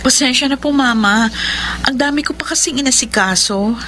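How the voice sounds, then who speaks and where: An actress playing a dutiful, composed young Filipina daughter in a radio drama. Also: female voice, high voice, moderate pace, bright, forceful tone, slight background noise. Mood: apologetic, dutiful.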